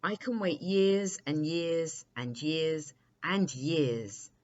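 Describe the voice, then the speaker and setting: A woman's voice: low voice, extremely slow, a little mellow, lo-fi sound. A woman voicing an eager, determined little boy prince in a storybook, full of questions and exclamations.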